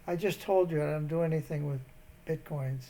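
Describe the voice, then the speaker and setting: A man's voice: fairly high pitch, brisk, warm tone. A man baiting a phone scammer, rambling and wandering off mid-sentence, growing more indignant as he goes. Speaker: scambaiter posing as an elderly bank customer. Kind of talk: prank phone call.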